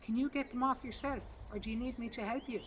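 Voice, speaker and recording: A woman's voice: a little high, steady tone, quick pace, noisy background, pitched above the performer's own voice, natural voice. A woman voicing a tired young mother who coaxes her little daughter in a storybook reading. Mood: questioning. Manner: coaxing, motherly questions and gentle orders. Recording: outdoor garden, distant mic, loud birdsong.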